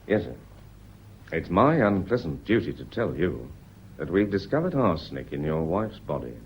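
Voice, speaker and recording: Deep-voiced, politely relentless police inspector. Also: male voice, sing-song, a little hiss or hum. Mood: grave, patient. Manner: deliberate, courteous, probing. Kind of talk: radio drama acting. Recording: dull, muffled old radio recording.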